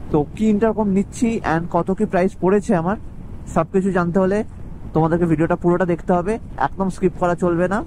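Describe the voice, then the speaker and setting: A man's voice: high-pitched, warm tone, poor audio. A man with a Bengali accent talking casually to viewers as a vlogger, mixing in English words. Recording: clear vehicle and ambient noise.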